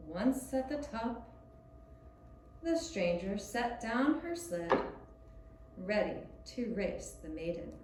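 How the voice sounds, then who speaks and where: Woman storyteller for small children. Soft mellow tone, unhurried delivery, very roomy recording. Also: medium pitch, big pitch swings. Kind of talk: scripted storytelling for young children. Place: echoey room, puppet show performance. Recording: roomy echoing sound with faint hiss.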